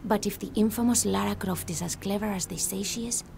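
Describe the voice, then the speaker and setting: A woman's voice: mid-range voice, brisk, gravelly, background noise, lightly acted. An actress playing a confident, wry local woman researcher in video game dialogue.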